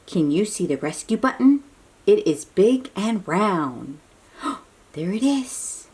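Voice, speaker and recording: A woman reading a picture book aloud to young children in an animated, breathy, questioning way, drawing out her words. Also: medium pitch. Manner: animated, drawn-out, questioning delivery aimed at little children. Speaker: children's read-aloud host.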